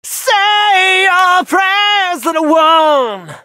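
An actor voicing a brash heavy-metal dragon-riding knight in a video game, with a bombastic, theatrical delivery and drawn-out battle cries. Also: extremely high pitch, lively intonation, sharp tone, no room sound, clean studio sound, faint music behind, full character voice. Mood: fierce, fired up.

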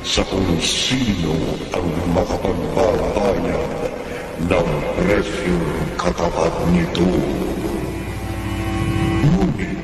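A man playing a sinister storyteller in a radio horror drama, with an ominous, drawn-out, theatrical delivery. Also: sits low, expressive, full character voice. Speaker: horror anthology host and narrator. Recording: cavernous echo, distant, over loud music.

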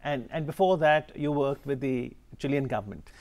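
A man in his thirties, TV morning show host, polite, measured questions, pauses with "uh", lapel mic, studio set. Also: medium pitch, darkish tone. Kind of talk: studio TV interview.

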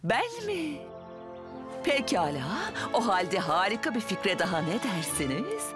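Female mayor, kids' cartoon: gushing, flustered, very animated, music behind. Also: mid-range voice, a little slow. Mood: excited. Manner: bubbly, flustered. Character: excitable, self-pleased adult female mayor. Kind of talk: children's cartoon dub.

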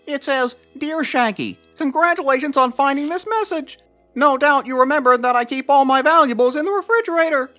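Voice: high voice, brisk, pushed and bright, soft background music. A performer voicing a lanky, cowardly young slacker guy in a cartoon, whiny and sarcastic, peppering speech with "like". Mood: casual, wry. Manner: whiny, says "like" constantly. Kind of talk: cartoon voice acting.

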